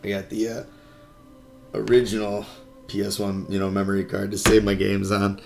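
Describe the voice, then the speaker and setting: A man with a raspy voice, chatting casually and rambling nostalgically while he sets up his console to stream from his living room. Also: on the low side, completely flat delivery, moderate pace, over music. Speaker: streamer playing a game. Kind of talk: casual live-stream chat. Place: home living room with a couch.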